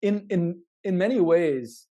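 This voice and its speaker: Halting, conversational man podcaster. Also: high-pitched, expressive, dark tone. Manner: halting, repeats words as he thinks. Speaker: podcast co-host. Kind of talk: casual, thoughtful chat.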